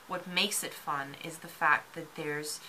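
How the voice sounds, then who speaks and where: A woman from Serbia who works as an actor and bartender, explaining her view thoughtfully in an interview and pausing often with ums. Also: deep voice, steady tone, bright, forceful tone, a little hiss or hum, poor audio. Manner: thoughtful, searching, frequent ums.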